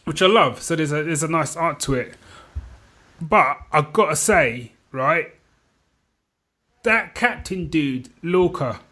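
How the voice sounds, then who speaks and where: A man's voice: on the high side, expressive, takes it slowly, pauses often, bright, forceful tone. A British man chatting informally as a podcast co-host, often checking that his listener follows. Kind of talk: casual chat.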